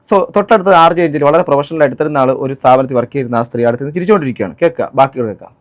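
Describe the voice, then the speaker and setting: A man's voice: mid-range voice, animated, rapid-fire. A man giving spoken commentary on a clip, indignant and full of rhetorical questions. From Kerala, India. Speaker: reaction vlogger.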